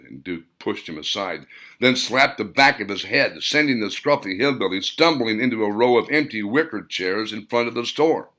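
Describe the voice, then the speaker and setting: Deliberate male audiobook narrator, bright tone. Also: deep voice. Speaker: author reading his own novel.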